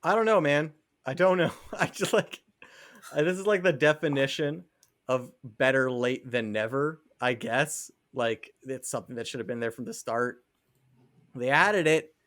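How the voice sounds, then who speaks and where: A man's voice: mid-range voice, moderate pace, fairly bright tone, no echo. A man co-hosting a podcast, chatting casually and thinking aloud a little hesitantly. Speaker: podcast co-host. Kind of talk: casual chat.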